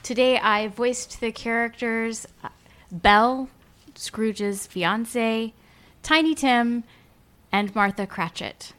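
A woman's voice: fairly high pitch, slow, a bit bright. A woman radio guest introducing herself casually.

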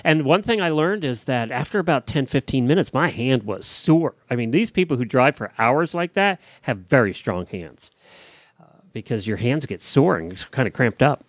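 A man's voice: mid-range voice, animated, normal speed, no echo. A man with an American accent co-hosting a podcast, casually chatting and telling stories. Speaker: podcast co-host.

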